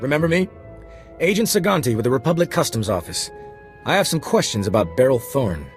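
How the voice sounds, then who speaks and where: Animated, officious customs agent man acting. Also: mid-range voice, a little fast, soft background music, natural voice. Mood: probing. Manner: formal, insinuating. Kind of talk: video game character acting.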